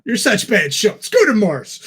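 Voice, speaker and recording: A man co-hosting a casual discussion, telling anecdotes in a wry, sweary, animated way. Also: sits high. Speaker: podcast co-host.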